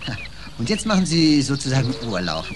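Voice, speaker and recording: A man's voice: mid-range voice, very animated, a little fast, lightly acted. An actor playing a good-natured, naive policeman in a 1940s radio drama, exclamatory and full of concern. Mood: kindly, curious. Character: kindly, naive, easily shocked foreign policeman. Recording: noisy old 1940s radio recording.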